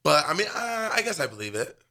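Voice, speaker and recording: A young man co-hosting a radio show, telling stories in quick casual chat full of "like". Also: on the high side, big pitch swings, pushed and bright. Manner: chatty, quick storytelling packed with "like".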